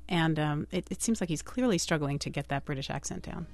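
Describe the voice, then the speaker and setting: A woman's voice: low voice, brisk, vocal fry, over music. A woman hosting a public radio interview, asking questions and laughing along with her guest. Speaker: radio host. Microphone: studio microphone.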